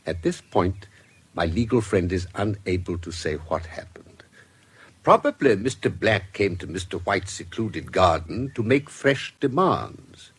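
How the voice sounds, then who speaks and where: A shrewd British investigator in a radio drama, laying out a case with formal precision. His voice is low and rough-edged, and it rises and falls widely as he builds each deliberate point. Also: big pitch swings, heavily acted. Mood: methodical, grave. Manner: formal, measured, deliberate storytelling. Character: shrewd, composed male investigator recounting a case, precise and discreet.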